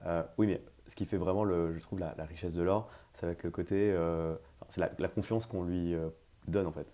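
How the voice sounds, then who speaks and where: A podcast host asks his guest questions in a chatty, informal way, loaded with hesitations. He talks quickly in a low voice. His tone is mellow. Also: steady tone. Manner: chatty, full of fillers, informal. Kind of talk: podcast interview.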